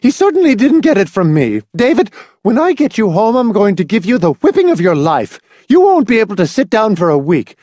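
A man's voice: a little high, big pitch swings, moderate pace, mellow, no room sound, clean studio sound, voice pushed up. A man voicing a prim, easily scandalised mother in an audiobook, reproving and tightly indignant. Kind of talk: audiobook character voice.

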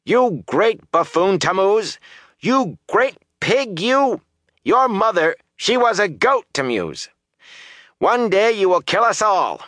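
Man putting on an irate, imperious woman, pitched way up. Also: sing-song, normal speed, pushed and bright, dry recording, heavily acted. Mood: furious. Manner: haughty, stilted foreign-style phrasing. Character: irate, imperious woman scolding her underling.

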